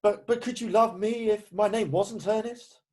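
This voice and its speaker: An ardent young gentleman suitor acted by a man, with a fairly high voice and a nervous, stammering delivery. He sounds like a stage comedy lover in a read-through on a video call. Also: steady tone, normal speed, lightly acted. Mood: anxious, hesitant. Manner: hesitant, stammering. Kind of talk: amateur play read-through.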